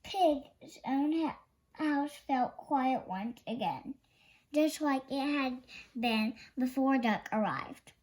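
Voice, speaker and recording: High-pitched, slow young storyteller reading aloud. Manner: halting young reader, stumbles and self-corrects. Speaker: storyteller reading a children's picture book. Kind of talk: read-aloud story narration.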